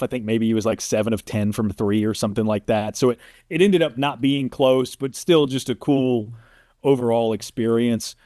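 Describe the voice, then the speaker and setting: A man's voice: mid-range voice, darkish tone, no echo, studio quality. A man who works as a sports announcer, telling stories informally as an interview guest and often checking in with the listener. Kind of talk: casual interview storytelling.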